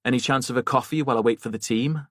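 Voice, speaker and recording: A man's voice: mid-range voice, racing, no echo, clean studio sound, lightly acted. A man voicing a self-possessed, forthright female detective talking casually in an audiobook. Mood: casual, probing. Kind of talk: audiobook character voice.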